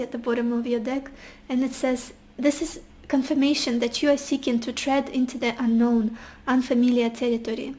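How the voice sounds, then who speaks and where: Woman, high pitch, voice fading and hoarse; soft, reassuring tarot-reading delivery. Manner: gentle, reassuring, addresses the viewer directly. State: losing her voice, hoarse. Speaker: tarot reader. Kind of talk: casual pick-a-card tarot reading.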